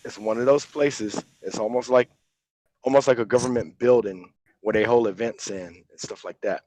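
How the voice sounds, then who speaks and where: A man calling in to a debate, answering haltingly with restarts. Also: mid-range voice, a little mellow, dry recording, low-quality recording. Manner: halting, hesitant.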